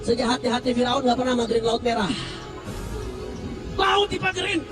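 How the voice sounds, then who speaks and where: Fiery high-pitched man preaching to a crowd. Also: lively intonation, brisk. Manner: shouting, call-and-response with crowd. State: recovering from flu. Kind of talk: fiery open-air sermon. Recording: distant mic with music behind. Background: music.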